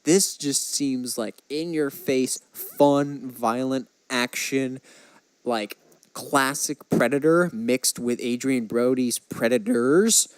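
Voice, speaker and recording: Male podcast co-host, casual and opinionated fan talk, medium pitch, dry recording. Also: takes it slowly, clear, resonant tone, no echo. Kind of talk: casual movie chat.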